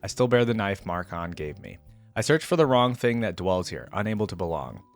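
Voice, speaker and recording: A man with a fairly low voice hosts a reaction video. He reads fiction aloud in a dramatic storytelling style. His own commentary is casual and conversational. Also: sing-song, a little fast, soft background music.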